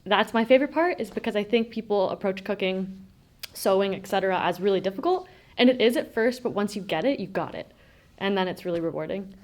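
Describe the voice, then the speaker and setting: A woman's voice: mid-range voice, brisk. A woman home economics teacher giving a cheerful, upbeat interview answer.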